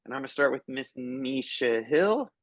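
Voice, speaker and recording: A man's voice: mid-range voice, animated, moderate pace, no room sound, lo-fi sound. A man hosting an online webinar over a video call, upbeat and loose with fillers as he introduces people and sponsors. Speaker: webinar host.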